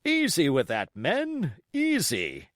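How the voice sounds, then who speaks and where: A stern sea captain, acted out by a male storybook reader, blunt and dutiful. His pitch swings widely as he drives each formal, curt phrase home, in a very dry recording. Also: huge pitch swings, slow, fairly bright tone, no room sound, full character voice. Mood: barking orders.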